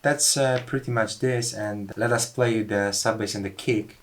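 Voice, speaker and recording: A man's voice: fairly low pitch, fairly even intonation, measured pace, noisy background. A man giving a casual tutorial as a music producer, with informal, slightly non-native phrasing. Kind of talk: casual track breakdown tutorial. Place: music studio. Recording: music playing behind the voice.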